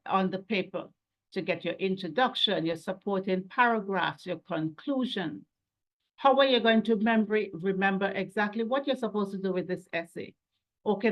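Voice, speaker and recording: Woman, medium pitch, educator, explanatory lecture style, didactic, rhetorical questions, points listed step by step. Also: poor audio. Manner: didactic, poses rhetorical questions and lists steps. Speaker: educator presenting a webinar.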